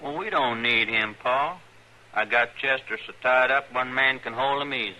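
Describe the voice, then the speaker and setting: Sharp-voiced, callous frontier farm boy. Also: mid-range voice, moderate pace. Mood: dutiful. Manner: folksy, matter-of-fact, surly. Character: callous, obedient frontier son of a preacher. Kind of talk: old-time radio western acting. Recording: noisy old radio recording.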